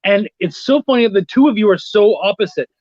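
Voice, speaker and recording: Dull lo-fi dry sound, man, casual guest, animated storyteller, sweary. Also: high-pitched, fast talker, no echo. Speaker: guest, freelance writer and editor. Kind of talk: casual anecdotal chat.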